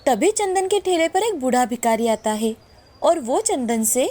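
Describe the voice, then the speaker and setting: A woman's voice: high-pitched, lively intonation, unhurried, dark tone. A woman narrator reading a scripted moral tale in storytelling style.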